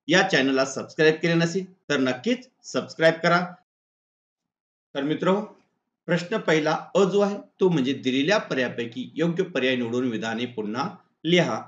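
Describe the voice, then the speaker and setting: A man with a Marathi accent narrates an exam-prep lesson in an echoey room, with slightly dull, muffled audio. His voice sits at a medium pitch. He works methodically through each point like an instructor, reading out items one by one. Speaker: teacher presenting exam answers.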